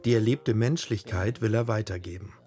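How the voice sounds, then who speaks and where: A man reading a scripted documentary voice-over in an even, measured way. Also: lowish voice. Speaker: documentary narrator. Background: faint music.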